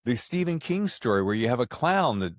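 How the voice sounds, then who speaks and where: A man hosting a podcast, chatting casually and slangily in an animated way as he interviews a guest. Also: medium pitch, brisk, no room sound, clean studio sound. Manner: animated, slangy, acts out reactions. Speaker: podcast host. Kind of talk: casual book interview chat.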